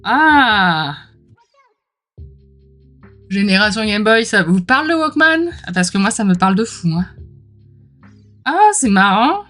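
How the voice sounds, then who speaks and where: A woman gives a casual, gushing commentary as an unboxing host. Her voice sits at an average pitch and she is mildly under the weather, with a throat that needs clearing. Soft music plays faintly behind her informal, exclamatory talk. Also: big pitch swings, slow, long pauses. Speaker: host of a tarot unboxing channel.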